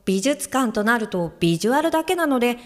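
A woman's voice: on the high side, sing-song, fast talker, big-room sound. An actress playing a polite, well-meaning but easily flustered female guide in an audio drama. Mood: reflective. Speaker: actor and first-person narrator. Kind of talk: Japanese audio drama dialogue and narration.

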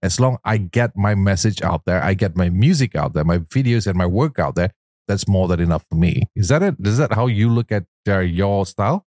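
A man's voice: low voice, animated, a little fast, darkish tone, dry recording, clean studio sound. A man hosting a talk show in a casual, effusive, complimentary way, chatting as an interviewer.

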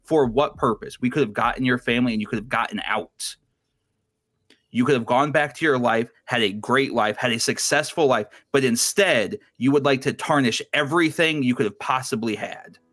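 A man playing a stern, bitter man born into crime, lecturing gravely and reproachfully in a tabletop game. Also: mid-range voice, normal speed, a bit bright, natural voice.

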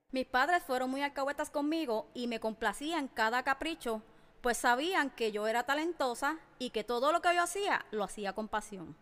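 Woman, Puerto Rican accent, fairly high pitch, crisp smooth voice, emphatic podcast host, long reverb. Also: pushed and bright. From Puerto Rico. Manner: emphatic, addresses listeners directly. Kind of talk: personal podcast monologue. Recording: long reverberant hall echo.

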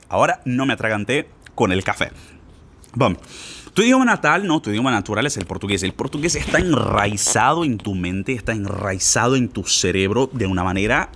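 Man, casual language teacher, very expressive pitch, slangy, pushy, talks straight to the listener. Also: breathy edge. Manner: informal, insistent, slangy, addresses listener directly. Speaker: Spanish teacher for learners. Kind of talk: casual spoken lesson.

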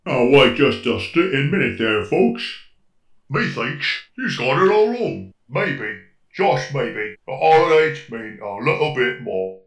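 Give me a slangy Cockney robot crewmate, played by a man, bright, swinging pitch. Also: very animated, measured pace, reverberant, faint music behind. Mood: rallying. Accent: put-on Cockney. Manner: slangy, rough-edged, folksy. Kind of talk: comic audio drama acting.

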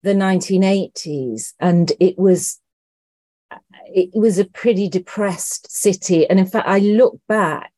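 A middle-aged woman from Liverpool, answering interview questions thoughtfully and haltingly as she searches for words. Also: mid-range voice, dark tone, no room sound, studio quality. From Liverpool, England. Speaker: interview guest, writer and actress.

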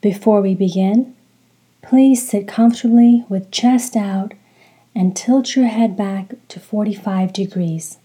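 A woman leading a guided meditation in a soft, breathy voice, calm and deliberate, speaking in short soothing phrases. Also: medium pitch. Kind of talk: slow guided meditation with religious recitation.

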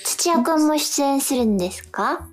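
A woman's voice: sits high, expressive, moderate pace, faint music behind. A woman co-hosting a radio show, chatting and giving announcements. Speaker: radio co-host.

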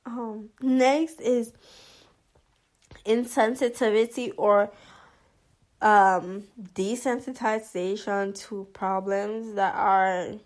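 Slow, hesitant woman vlogger. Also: mid-range voice. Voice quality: monotonous voice. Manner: hesitant, many fillers and restarts. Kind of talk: casual commentary to camera.

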